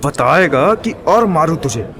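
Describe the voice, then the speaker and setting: A man's voice: on the high side, very animated, measured pace, heavy background noise. Man acting a tough, irritated young hero. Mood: demanding.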